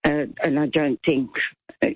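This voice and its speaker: A woman's voice: sits low, fairly even intonation, a little slow, husky, muffled recording. A woman in her seventies calling in to a talk radio show, chatty and rambling, getting indignant at times. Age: 70s. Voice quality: loud voice. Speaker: caller. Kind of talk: talkback radio call.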